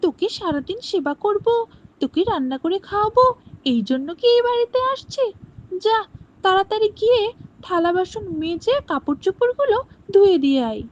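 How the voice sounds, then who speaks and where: A woman's voice: extremely high pitch, lively intonation, brisk, pitched above the performer's own voice. A woman voicing a cruel, greedy stepmother in a cartoon story, talking in a harsh, scolding, sneering way. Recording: dull lo-fi with faint hiss.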